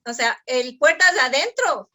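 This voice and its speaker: A woman from Ecuador with an Ecuadorian Spanish accent, a guest on an interview, who tells stories in a lively way and often says quick yeses. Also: high-pitched. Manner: lively storytelling, frequent quick affirmations. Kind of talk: conversational interview.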